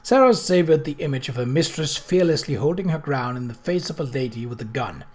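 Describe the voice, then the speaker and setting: Male narrator for storybook prose, voice pitched a little high. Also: lively intonation, fairly quick. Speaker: audiobook reader.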